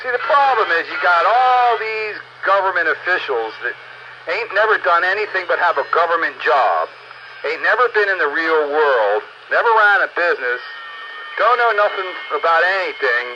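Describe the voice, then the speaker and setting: A man's voice: high-pitched, expressive, normal speed. Blunt American man, dull noisy audio. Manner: blunt, grumbling, folksy phrasing. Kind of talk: casual opinionated chat. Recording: dull lo-fi audio with clear background noise.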